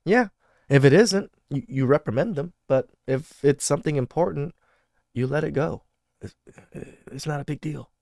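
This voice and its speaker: A man's voice: fairly high pitch, moderate pace, gravelly, darkish tone, no echo, studio quality. A man in his thirties hosting a podcast, reacting to clips with blunt, profane scolding. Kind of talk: casual reaction commentary. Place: home studio with purple lights.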